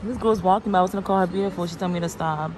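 A young woman from Guinea-Bissau chatting playfully to her viewers on a travel vlog, her voice a bit hoarse. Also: lowish voice, steady tone, racing, a little mellow, slight background noise. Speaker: travel vlogger. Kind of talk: casual vlog chat to camera. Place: hotel room and lobby at night. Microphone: handheld phone camera.

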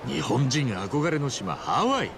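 A man's voice: mid-range voice, very animated, takes it slowly, noisy background, exaggerated voice. An actor playing a boisterous, big-hearted ex-yakuza man in a video game, theatrical and earnest. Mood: upbeat, earnest. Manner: theatrical, earnest, rough-and-ready. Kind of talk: Japanese video game voice acting.

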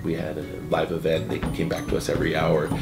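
A man in his forties with a very low voice answers interview questions from his seat by a window. He talks haltingly, restarting his sentences and filling gaps with uhs. Music plays clearly beneath him. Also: monotone, roomy sound. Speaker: event co-founder. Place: indoor room by a window, seated. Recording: music bed underneath.

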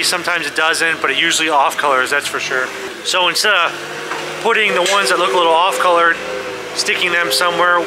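Chatty young man vlogging in a hay barn. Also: fairly high pitch. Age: young adult. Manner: candid, chatty, talking to camera. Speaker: farm vlogger. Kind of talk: casual vlog narration. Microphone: handheld phone camera at arm's length. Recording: noisy barn with engine hum.

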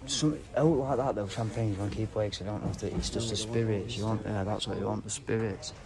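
A man with a low, creaky voice chats in a blunt, casual way. People can be heard clearly behind him in a busy warehouse. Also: fairly even intonation, fast, a crowd in the background. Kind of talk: casual chat. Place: indoor auction warehouse.

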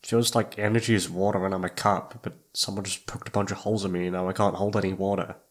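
A man's voice: deep voice, little pitch movement, fast talker. A man playing a shy, flustered young incubus roommate in an ASMR roleplay, hesitant and awkward, often trailing off. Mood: weary, pensive. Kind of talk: ASMR roleplay audio drama.

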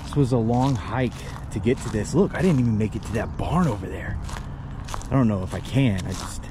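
A man's voice: lowish voice, brisk. Man, thirties, handheld ghost-hunting vlogger, nervy, noisy ambience. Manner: jumpy, excitable, swears often. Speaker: paranormal vlogger. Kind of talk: handheld exploration vlog. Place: abandoned mansion and overgrown grounds, in and outdoors. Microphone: handheld selfie camera.

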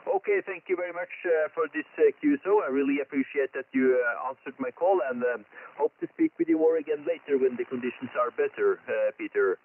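A man with a Norwegian accent talking as a ham radio operator over shortwave, halting and polite, often repeating himself. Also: mid-range voice. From Norway. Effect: heard over shortwave radio. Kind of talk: ham radio contact exchange. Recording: tinny band-limited shortwave radio signal.

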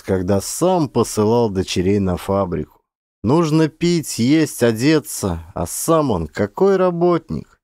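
A man reads a literary tale in an actor's expressive style. His mid-pitched voice rises and falls in lively swings, with dramatic emphasis on key words. The sound is dry and close, free of room echo. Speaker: actor reading an audiobook. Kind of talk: expressive literary audiobook narration.

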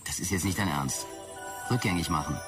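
A man's voice: low voice, fairly quick, lightly acted. A man playing an exasperated, colloquial guy in a sci-fi audio drama. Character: exasperated man fighting his faulty implant. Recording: loud music behind the voice.

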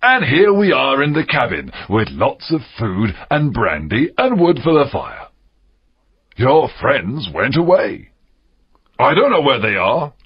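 An actor playing a fierce, cunning pirate captain in an audiobook drama, gravelly and husky, with a theatrical drawl. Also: male voice, medium pitch, huge pitch swings, a little slow, lo-fi sound, heavily acted. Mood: sly storytelling. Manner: theatrical, drawling, swooping delivery.

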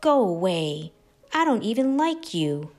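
A sulky female pig from a children's storybook, acted out by a woman. She pouts and sniffles with hurt pride, her pitch swinging up and down over a music bed. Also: very animated, measured pace, darkish tone. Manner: sniffling, pouting.

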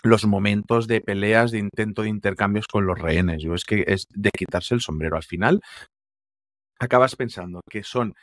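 Low-voiced man in casual series chat. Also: normal speed, dry recording, clean studio sound. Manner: conversational, amused, restarts his sentences. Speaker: TV series critic co-host. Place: home room, remote call. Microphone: headset and desk mic over video call.

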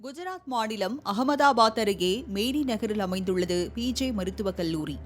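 A woman narrating a scripted news report in a formal, measured way. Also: mid-range voice, lively intonation. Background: music bed.

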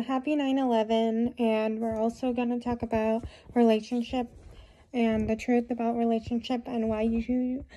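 A young woman talking to her phone camera in a small room, giving rambling advice with many fillers, a bit hoarse from a cold. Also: on the high side, warm tone. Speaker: vlogger. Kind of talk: casual talk to camera. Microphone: phone camera at close range.

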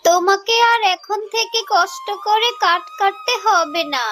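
Lady doing a poor fox mom in a kids cartoon, high earnest voice, bit of music under it. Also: a little slow, a bit bright. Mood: relieved. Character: poor, humble fox mother and wife. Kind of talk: children's cartoon voice acting. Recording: music behind the voice.

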